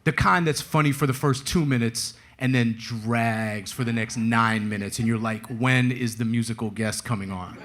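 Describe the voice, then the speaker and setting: A man's voice: medium pitch, fairly even intonation, moderate pace, hardly any pauses, a bit bright. A man speaking as a guest author at a live talk, telling stories in a wry, casual, slangy way. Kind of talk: conversational storytelling at a live book talk.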